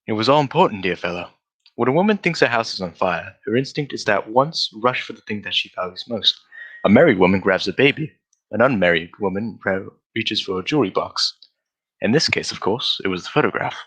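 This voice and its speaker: An actor playing a shrewd, confident gentleman detective in old-style radio drama, politely explaining himself in an assured manner. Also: on the low side, lively intonation, fairly quick, husky, rough recording. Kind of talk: 1940s radio drama acting.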